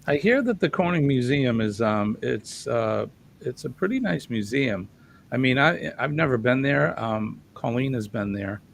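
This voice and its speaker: A man co-hosting a casual chat, easygoing and hesitant with many pauses. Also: medium pitch, lively intonation, gravelly. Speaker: co-host.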